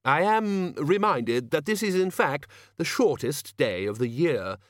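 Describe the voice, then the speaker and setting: A man's voice: on the high side, big pitch swings, unhurried, no room sound, clean studio sound, voice pushed up. Man playing a blustering older wizard, swooping intonation. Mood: affronted. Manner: pompous, hearty, exclamatory. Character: blustering, self-assured older male wizard, head of college. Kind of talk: audiobook character acting.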